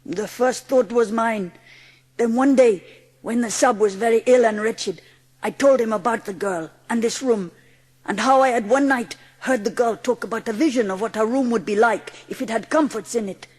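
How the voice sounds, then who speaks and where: A woman voicing a gentle, watchful Indian manservant with formal, reverent phrasing in a dramatised audiobook. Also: fairly high pitch, moderate pace, slightly rough, long reverb tail, rough recording. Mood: quiet, assured.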